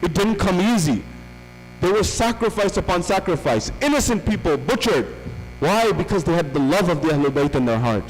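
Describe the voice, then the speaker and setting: A man delivers a religious lecture, piling up rhetorical questions and repeated phrases for emphasis. His voice sits high for a man. The sound carries a long hall reverb and a faint hum underneath. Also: lively intonation, moderate pace. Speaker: visiting lecturer.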